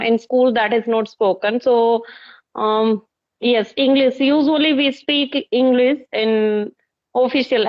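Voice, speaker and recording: A woman with an Indian accent hosts a relaxed practice conversation, speaking in a slightly high voice. She pauses often on "uh" as she searches for words. The recording sounds dull and lo-fi. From Haryana, northern India. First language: Hindi. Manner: hesitant, many uh fillers. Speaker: teacher and channel host.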